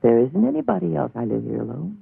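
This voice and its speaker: An actor playing a lone old villager in a dubbed vintage horror film, courteous and plain-spoken, with a creaky, raspy voice and a slow folksy manner. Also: male voice, medium pitch, big pitch swings, no room sound, full character voice. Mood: reserved, courteous. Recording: muffled tinny old film soundtrack.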